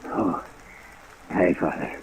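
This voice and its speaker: A man acting a dying, streetwise American convict in a 1950s radio drama, ailing, halting and short of breath. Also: slight background noise, close to an everyday voice. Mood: weak, friendly. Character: dying convict, old-time grifter, resigned, rough-talking. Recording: muffled old radio recording, rain over it.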